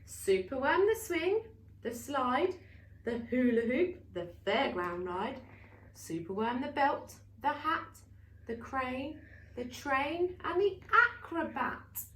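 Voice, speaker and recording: A woman reading a children's bedtime story aloud in an expressive, theatrical way with emphatic exclamations. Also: high-pitched, slow pace. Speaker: story reader for a family centre. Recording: roomy, distant mic, faint noise.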